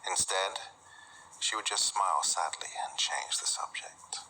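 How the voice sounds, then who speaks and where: A man narrating a scripted horror story as host, in a hushed, deliberate, suspenseful manner. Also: deep voice, whispered, low-quality recording.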